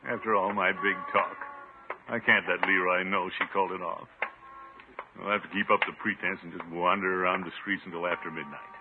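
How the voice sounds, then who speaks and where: An actor playing a pompous, needy middle-aged bachelor with a blustery, theatrical delivery in a 1950s radio comedy. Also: male voice, deep voice, fairly even intonation, fairly quick, natural voice. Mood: dejected. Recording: noisy, muffled 1950s radio recording.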